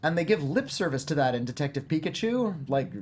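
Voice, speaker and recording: A man's voice: a little high, big pitch swings, fairly quick. Analytical male film critic, some background noise. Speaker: film critic and panel host. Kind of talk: casual panel film review.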